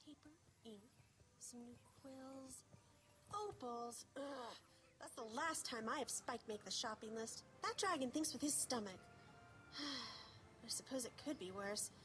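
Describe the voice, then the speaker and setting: A woman's voice: fairly high pitch, unhurried, fairly bright tone, soft background music. A woman voicing a bookish, fretful young female pony in a cartoon, with a dramatic, exasperated manner. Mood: mildly exasperated. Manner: dramatic, exasperated, talks to herself. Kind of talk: animated fan audio drama acting. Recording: played over speakers in a panel room.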